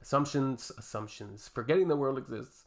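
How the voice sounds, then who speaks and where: Gravelly Canadian man, dry sarcasm. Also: mid-range voice, moderate pace. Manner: dry, sarcastic, exasperated asides. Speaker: reaction video host. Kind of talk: reaction commentary, reading posts aloud.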